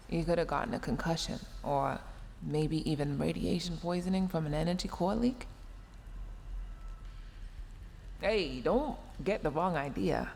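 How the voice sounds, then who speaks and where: A woman's voice: on the low side, darkish tone, big-room sound, slight background noise, lightly acted. A woman voicing a tsundere mech engineer in a sci-fi audio roleplay, sarcastic and exasperated, scolding while secretly caring. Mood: defensive worry. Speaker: roleplay voice performer.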